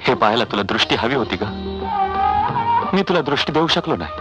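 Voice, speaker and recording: An actor playing a devoted son who runs a shelter for the poor, speaking earnestly and from the heart. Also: male voice, medium pitch, sing-song, a little fast, over music, lightly acted. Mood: heartfelt. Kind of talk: melodramatic film dialogue.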